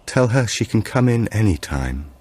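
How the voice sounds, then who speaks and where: Worried dad, male narrator acting, low register, gentle but insistent, careful quiet questioning, grieving. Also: very animated, moderate pace, husky. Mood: anxious, insistent.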